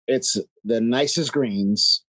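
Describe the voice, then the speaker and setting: A man's voice: mid-range voice, slow, a bit bright, dry recording, rough recording. A man chatting casually and emphatically as a podcast guest, swearing freely. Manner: profane, emphatic. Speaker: podcast guest from a local golf crew. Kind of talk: casual chat.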